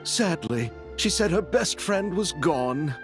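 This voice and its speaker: Showy dreamer film producer, high lively voice, all flourish, soft music behind. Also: male voice, slow pace. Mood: sheepish. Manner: theatrical, effusive, florid phrasing.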